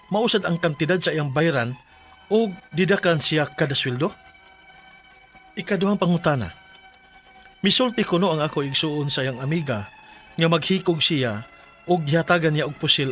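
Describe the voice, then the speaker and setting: Radio drama casting: vengeful protective big brother, high bright voice, faint music underneath. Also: male voice, expressive, normal speed, long pauses. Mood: troubled, earnest. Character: aggrieved protective older brother, hot-tempered and vengeful. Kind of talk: Bisaya radio drama acting and narration.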